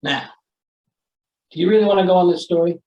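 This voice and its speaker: A man's voice: sits high, a little fast, darkish tone, roomy sound, far from the mic, muffled recording, lightly acted. A man playing an exasperated, sarcastic newspaper editor in old-time radio style, blustering through his lines. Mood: harried alarm. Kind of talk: old-time radio comedy-thriller acting.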